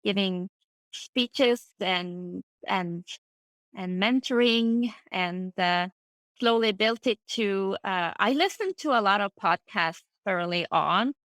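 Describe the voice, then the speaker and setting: A middle-aged woman from Finland, a native Swedish speaker, answers interview questions in a relaxed and thoughtful way, pausing on fillers and starting over now and then. Her voice is bright and forward. The sound is dry and close, with almost no room echo. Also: mid-range voice. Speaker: interview guest, freelance translator. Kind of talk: casual conversational interview.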